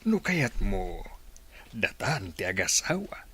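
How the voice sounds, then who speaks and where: A man's voice: on the low side, sing-song, slow, a crowd in the background. A man playing a lonely, insinuating older village don courting a woman in an Ilocano radio comedy drama. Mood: coaxing, wistful.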